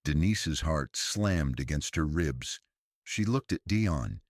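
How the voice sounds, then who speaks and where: A man narrating a science fiction thriller audiobook in a measured, deliberate storytelling style. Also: very deep voice, expressive, dry recording, clean studio sound.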